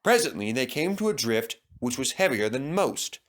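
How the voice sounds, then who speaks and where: A man's voice: mid-range voice, very animated, moderate pace, fairly bright tone. A man reading a scripted children's story as its narrator.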